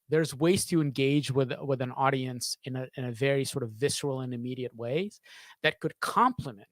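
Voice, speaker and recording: A man in his thirties talks thoughtfully in an interview, with a medium-pitched voice. He often stops to search for words and restarts his phrases. The sound is close and very dry, from a studio microphone in a small home studio. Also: no room sound. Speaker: sound designer. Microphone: studio microphone on a boom arm, close.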